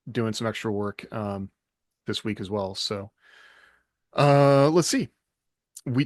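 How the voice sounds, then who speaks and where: A man's voice: medium pitch, dry recording. A man co-hosts a podcast, his mid-range voice easygoing and a little meandering, with plenty of ums. He sits close to a dry, dead-sounding mic. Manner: relaxed, rambling, many ums. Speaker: podcast co-host. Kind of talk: casual podcast chat.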